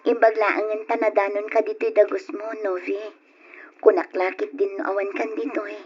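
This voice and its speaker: A woman's voice: medium pitch, level tone, moderate pace, darkish tone, breathy edge, soft background music, close to an everyday voice. A woman acting an affectionate, worried young wife in an old Ilocano radio drama. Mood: worried, subdued. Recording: dull, noisy old radio recording.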